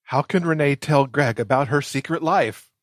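A man's voice: a little high, moderate pace, no echo, clean studio sound. A man speaking as a guest on a podcast, in casual chat or reading aloud.

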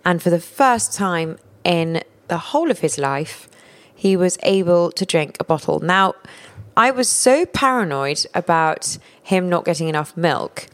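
A woman's voice: lowish voice, animated, unhurried. A woman telling a candid, self-mocking story in casual chat.

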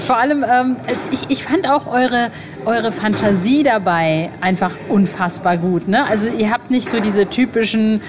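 A woman's voice: a little high, normal speed, a crowd in the background. A middle-aged woman hosting a casual interview, chatting in an enthusiastic, slangy way. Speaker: podcast host, interviewer. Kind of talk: casual, informal interview chat. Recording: clearly noisy background.

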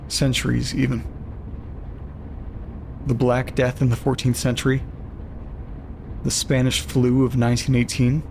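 A man reading aloud as an ominous, zealous doomsday letter writer, measured and portentous, in an audio drama. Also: mid-range voice, a little mellow, a little hiss or hum, lightly acted.

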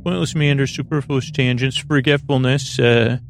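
A man with a creaky voice hosting a sleep podcast, meandering and hesitant as he drifts into tangents to lull listeners. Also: medium pitch, steady tone, moderate pace. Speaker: podcast host. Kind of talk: rambling bedtime monologue meant to lull listeners to sleep.

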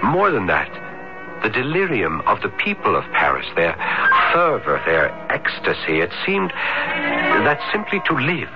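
An actor playing a tormented young student, earnest and intense, in a theatrical American radio drama style. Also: male voice, fairly low pitch, wildly swooping pitch, unhurried, loud music behind the voice, heavily acted. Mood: brooding narration. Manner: intense, theatrical, hesitant at times. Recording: muffled vintage radio drama recording.

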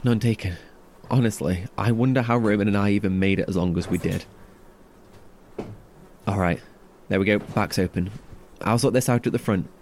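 Casting: male actor for a gritty, protective survivor in horror audio drama, lowish voice, terse and sweary, noisy field recording. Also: normal speed, darkish tone, natural voice. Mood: practical, calm. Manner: naturalistic, terse, sweary, wryly self-deprecating.

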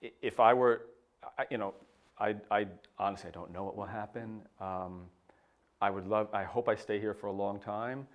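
A middle-aged man speaks in a lowish voice. He answers an interviewer slowly and reflectively, stumbling into frequent restarts and fillers. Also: steady tone, muffled recording. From United States. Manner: hesitant, many restarts and fillers. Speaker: papal nuncio, interview guest.

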